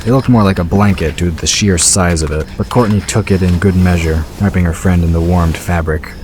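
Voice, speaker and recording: A man's voice: low-pitched, steady tone, brisk, darkish tone. A man reading scripted fantasy fiction as the narrator of a story. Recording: clear background ambience noise.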